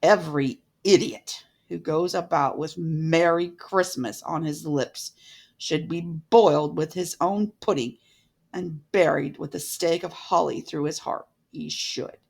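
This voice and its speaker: A woman voicing a miserly, bitter old man in a storybook reading, with gruff, scornful retorts. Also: deep voice, a little slow, voice pushed down, heavily acted. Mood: indignant.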